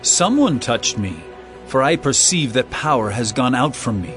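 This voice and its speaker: An actor playing Jesus as a calm, authoritative teacher with a measured, solemn delivery. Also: male voice, mid-range voice, close to an everyday voice. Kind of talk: dramatized scripture reading. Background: music.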